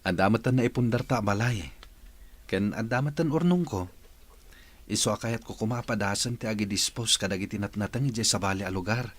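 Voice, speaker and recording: A man's voice: lowish voice, brisk, lightly acted. A man with a Filipino accent playing an earnest, respectful son in a radio drama, addressing his mother. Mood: earnest, hopeful.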